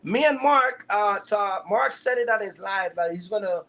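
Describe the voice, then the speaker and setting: Male livestream mentor, high-pitched, chatty and emphatic, on dull lo-fi audio. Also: moderate pace, sharp tone. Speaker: livestream host, trucking business mentor. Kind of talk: casual live chat to viewers. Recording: dull, lo-fi live stream audio.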